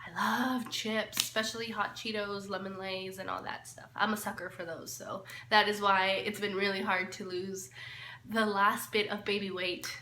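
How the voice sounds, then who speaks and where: A woman's voice: mid-range voice, level tone, normal speed, bright, forceful tone. A woman in her twenties, born in El Salvador, chatting casually to camera in a get-to-know-me vlog, often laughing and trailing off. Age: 20s. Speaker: lifestyle vlogger. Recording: echoey room, faint hiss.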